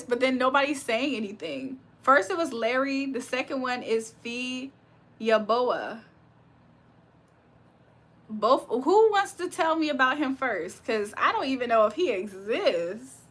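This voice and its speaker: Young woman on a livestream, bright voice sitting a bit high, reads chat out loud and laughs a lot. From Virginia. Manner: laughs often, reads comments aloud then reacts. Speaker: livestream host reading chat. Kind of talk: casual live chat.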